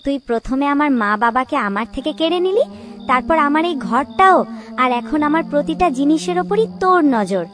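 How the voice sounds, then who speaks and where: A woman's voice: high voice, lively intonation, fairly quick, fairly bright tone. A woman voicing the jealous, menacing ghost of a little girl in a cartoon ghost story, scolding and threatening. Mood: menacing, bitter.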